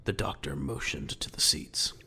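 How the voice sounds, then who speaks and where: A man's voice: moderate pace, bright, forceful tone. A man narrating an audio drama from a script in a dramatic storytelling style.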